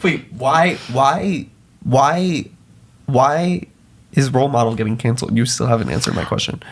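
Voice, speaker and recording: A man's voice: mid-range voice, moderate pace, vocal fry. A young man co-hosting a casual podcast, chatting in short, teasing interjections. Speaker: podcast co-host. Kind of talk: casual chat.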